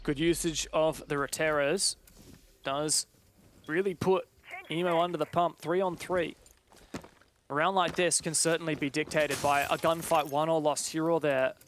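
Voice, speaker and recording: A man's voice: a little high, steady tone, moderate pace, a little hiss or hum. A man commentating live on an esports match, with dramatic, wordy phrasing. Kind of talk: live play-by-play game commentary.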